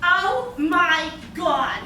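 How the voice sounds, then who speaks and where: A woman acts out a gushing, shallow high school girl in a very high voice. The live radio play sounds distant, with heavy echo and clear noise. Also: lively intonation, extremely slow, exaggerated voice. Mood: gushing, delighted. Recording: echoey hall from far off, noisy.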